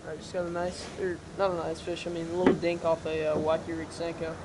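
A teenage boy filming a casual fishing vlog from a kayak on a lake, talking excitedly to his friend and calling him dude. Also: on the high side, fast, very noisy. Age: teens. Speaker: fishing vlogger. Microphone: action camera mounted on the kayak.

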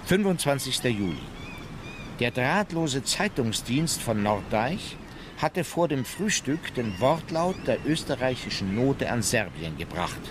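An actor playing a sober naval officer, narrating a diary factually and formally in a historical radio drama. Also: male voice, medium pitch, sing-song, unhurried. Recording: clearly noisy outdoor background.

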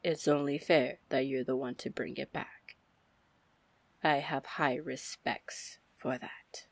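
A woman playing a confident, commanding, flirty female pirate captain in an ASMR fantasy roleplay, breathy and deliberate. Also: steady tone, dry recording, heavily acted. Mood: wry, probing. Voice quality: breathy, low, husky. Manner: slow, deliberate, smooth-talking. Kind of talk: ASMR roleplay acting, fantasy audio drama.

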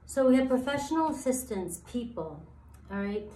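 A woman in her thirties with a slightly raspy voice, giving a casual tarot reading and rambling through her thoughts with many fillers. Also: on the high side, lively intonation, normal speed, dark tone. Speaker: tarot reader. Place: sparse room, indoors, seated at floor level. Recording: echoey empty room.

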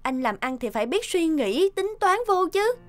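A woman's voice: very high-pitched, sing-song, normal speed, soft background music. A woman voicing a nagging, practical young wife in a cartoon, scolding in an exasperated way. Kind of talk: cartoon voice acting.

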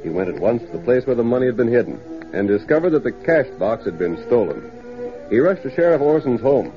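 A man's voice: medium pitch, very animated, a little fast, lightly acted. A man with an American accent narrating a 1930s radio western in a scripted storytelling style. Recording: muffled 1930s radio, music behind.

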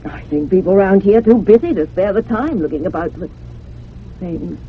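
A woman's voice: mid-range voice, lively intonation, moderate pace, natural voice. An actress playing an evasive older village woman in a radio drama, homely, defensive and clipped. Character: evasive, guarded village woman who gardens. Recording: noisy, phone-like old radio sound.

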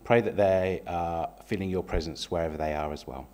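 A man in his thirties with a slightly croaky voice leads a church service, welcoming people and praying in a gentle, hesitant way with frequent ums, speaking into a stand microphone in a small church. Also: low-pitched, fairly quick. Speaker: lay worship leader. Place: small church sanctuary, indoors. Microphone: stand microphone at a lectern.

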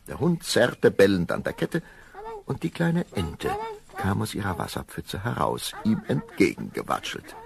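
A man narrating a scripted fairy tale as storyteller, expressive and unhurried, speaking directly to his listeners. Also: sits low, creaky.

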